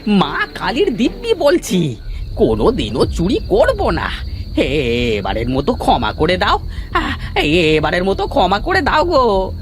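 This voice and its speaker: A man's voice: sits high, huge pitch swings, slow, a little hiss or hum, full character voice. A man voicing a cowardly, whining petty thief in a cartoon, given to stammering and wailing. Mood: terrified pleading. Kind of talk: Bengali cartoon voice acting. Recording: music behind.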